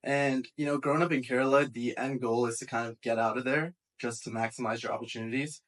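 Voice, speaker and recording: Guy in his 20s w american accent, average pitch, casual storytelling. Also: fairly even intonation, fast talker. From raised in England and Texas. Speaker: panel guest, IT manager.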